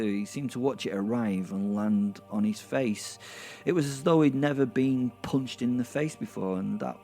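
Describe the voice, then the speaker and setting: A man's voice: on the low side, warm tone, natural voice. A man playing a brash, sardonic werewolf who tells his violent story in a rambling, conversational way with wry asides, in an audio drama. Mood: animated, incredulous. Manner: rambling, conversational, halting for effect, repeating words. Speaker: narrator and main character of an audio drama.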